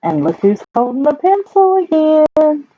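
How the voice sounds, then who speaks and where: A woman with a Southern US accent reads a picture book to children with a very lively, swinging pitch. She takes her time and chats in folksy asides. The recording is a little muffled. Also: unhurried. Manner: chatty, folksy, asking the listeners questions. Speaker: library storyteller.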